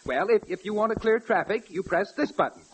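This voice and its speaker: A man's voice: high-pitched, animated, fairly quick. A man playing a hesitant, deferential company executive in a 1940s radio comedy sketch. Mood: deferential, explaining. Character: deferential, nervous male company vice president. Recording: slightly noisy 1940s radio recording.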